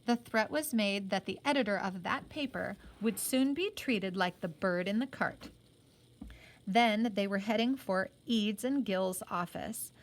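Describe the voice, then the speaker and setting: A woman's voice: medium pitch, measured pace. A woman reading a children's novel aloud as a teacher, expressively and with simple explanations.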